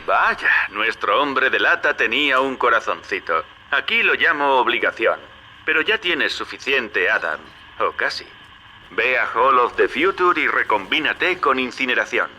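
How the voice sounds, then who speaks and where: A wily game-world hustler with a very bright, forceful voice and a lively Castilian lilt. He talks like a chummy, fatherly salesman guiding his partner, with faint noise behind him. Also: medium pitch, a little slow, full character voice, rough recording. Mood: wry. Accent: Castilian Spanish. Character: wily, folksy male hustler, chummy paternal accomplice. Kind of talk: video game character acting.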